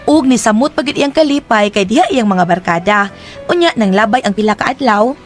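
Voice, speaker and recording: A woman's voice: fairly high pitch, animated, brisk, faint music behind, close to an everyday voice. A young woman narrating and playing the easygoing twin in an amateur audio drama, chatty. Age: young adult. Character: young working woman, a twin, good-natured. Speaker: narrator and lead.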